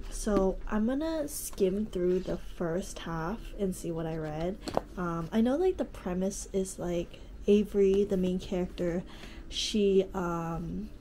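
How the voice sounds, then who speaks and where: A woman's voice: fairly low pitch, dark tone, a little hiss or hum, poor audio. A young woman in her late teens with a stuffy nose from allergies, chatting casually in her bedroom about a book she is reading, full of "like" and "um". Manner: chatty, lots of "like" and "um", easygoing. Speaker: vlogger. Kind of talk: casual reading vlog chat. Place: bedroom, indoors. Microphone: camera at close range.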